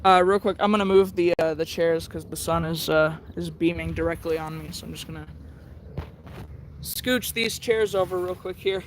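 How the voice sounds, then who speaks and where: An American man giving a casual hobbyist demonstration, thinking aloud in a halting way, outdoors. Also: a little high, fairly even intonation, slight background noise. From United States. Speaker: radio hobbyist presenting. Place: outdoors in the sun.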